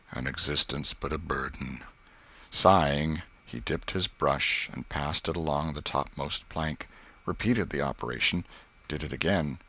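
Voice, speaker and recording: Very deep American male narrator. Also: a bit bright. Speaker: audiobook narrator. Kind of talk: scripted literary narration.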